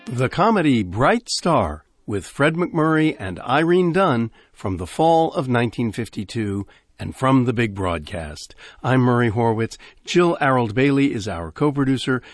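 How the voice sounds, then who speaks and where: Casting: older male host, lively delivery, very dry close studio sound. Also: medium pitch, measured pace, dry recording. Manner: smooth, informative announcing. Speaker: radio host. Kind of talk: scripted radio introduction.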